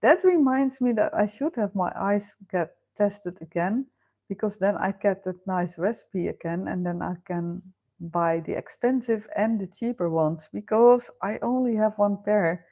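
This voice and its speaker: A Dutch-accented woman chatting unhurriedly on a livestream, reading comments aloud and answering them. She laughs often and thinks aloud with little fillers. Her pitch sits in a middle range and her pace is slow. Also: warm tone. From Netherlands. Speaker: livestream host. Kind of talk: casual live chat reading viewer comments. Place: home.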